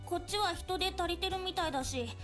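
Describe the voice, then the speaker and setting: High, casual anime tomboy. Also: female voice, very fast, a bit bright. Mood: awkward, casual. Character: casual, tomboyish young working woman. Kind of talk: Japanese anime visual novel acting. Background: game music.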